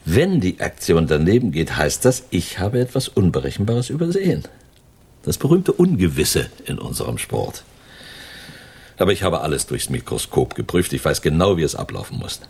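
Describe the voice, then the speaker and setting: An actor playing a sardonic, world-weary middle-aged criminal mastermind and lover in a German radio crime drama. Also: male voice, low-pitched, big pitch swings, normal speed, creaky, a little mellow, breathy edge, heavily acted. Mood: calculating, assured.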